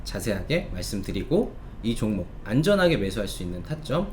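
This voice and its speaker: A man presenting stock market commentary with a sales pitch, polite and explanatory, stretching key words for emphasis. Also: sing-song, brisk, darkish tone, a little hiss or hum.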